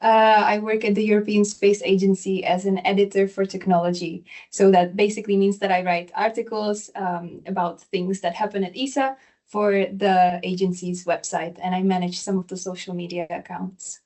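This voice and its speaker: A woman's voice: medium pitch, fairly even intonation, normal speed, a little mellow. Young woman. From Czech Republic. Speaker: alumna, science editor.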